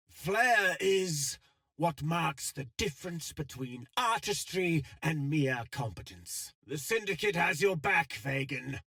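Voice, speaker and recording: Scheming game villain, pompous and florid, wildly animated pitch, slow measured pace, theatrical. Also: male voice, creaky, no room sound, studio quality, exaggerated voice. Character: eloquent, scheming male syndicate villain with a theatrical flair. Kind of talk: video game villain voice lines.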